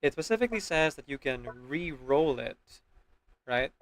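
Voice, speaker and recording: A man's voice: on the high side, lively intonation, normal speed. Rambling male gamer over music. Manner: rambling, often checks with "right?". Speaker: video game livestreamer. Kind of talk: casual gaming stream commentary. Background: background music.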